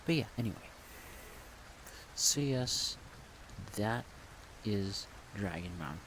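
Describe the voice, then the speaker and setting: Male, deep and unhurried, soft-spoken casual ASMR rambler, rain-like noise behind the voice. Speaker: ASMR creator rambling about a project. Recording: steady rain-like background noise.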